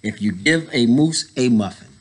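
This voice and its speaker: A man in his thirties reading a children's picture book aloud, pausing to add casual explanatory asides for young listeners. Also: medium pitch, lively intonation, slight background noise. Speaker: story time reader, educator. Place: indoors, school library.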